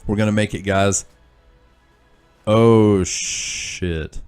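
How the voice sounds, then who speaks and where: Deep-voiced man rambling with a stuffy nose. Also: fairly even intonation, drawn-out words, darkish tone, smooth, soft background music. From Kansas. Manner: rambling, self-deprecating, lots of fillers. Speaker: gaming channel host. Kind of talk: rambling let's-play commentary.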